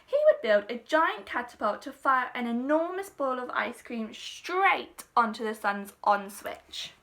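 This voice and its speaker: A woman's voice: fairly high pitch, animated, a little slow, a bit bright. A woman teacher reading a children's storybook aloud expressively, with dramatic emphasis.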